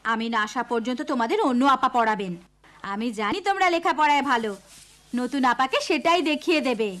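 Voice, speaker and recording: A woman voicing a kind, encouraging schoolteacher in a cartoon, speaking gently and patiently. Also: a little high, animated, a little fast. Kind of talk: cartoon character voice.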